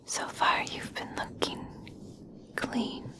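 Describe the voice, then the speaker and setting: A woman playing a gentle, caring young elf princess in a soothing, breathy ASMR roleplay, speaking deliberately with long pauses. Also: lightly acted. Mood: gently explaining. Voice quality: breathy whisper. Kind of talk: ASMR personal attention roleplay.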